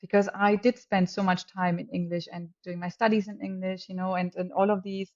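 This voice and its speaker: A woman's voice: mid-range voice, level tone, a little fast, darkish tone. A woman in her thirties whose mother tongue is German chats enthusiastically as a podcast guest. She laughs easily and often restarts her sentences. The audio sounds a little dull and lo-fi. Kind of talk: casual podcast conversation.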